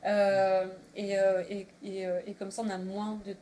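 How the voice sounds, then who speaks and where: A woman's voice: mid-range voice, monotone, measured pace, mellow. A woman of thirty-two from northern France, talking casually as a guest in a discussion. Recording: faintly noisy room recording.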